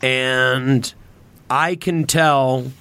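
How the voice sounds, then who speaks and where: A man talks in a casual podcast style at a middling pitch. He is reflective and opinionated, pausing and restarting as he works out his point, with some profanity. The sound is dry, without room reflections. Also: fairly even intonation, bright, forceful tone, smooth, dry recording.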